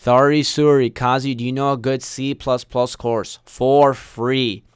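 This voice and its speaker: A young man who grew up in Pakistan chats casually through a livestream mic, greeting viewers by name and answering their questions as they come in. His voice is clean and smooth, sitting at a middle pitch for a man. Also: flat delivery, measured pace. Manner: chatty, reads viewer names and questions aloud. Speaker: online course instructor.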